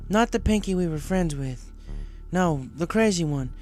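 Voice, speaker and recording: A man plays a steady, plain-spoken workhorse mare in a storybook tale. The pitch is lifted, and music runs behind the voice. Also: unhurried. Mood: insistent.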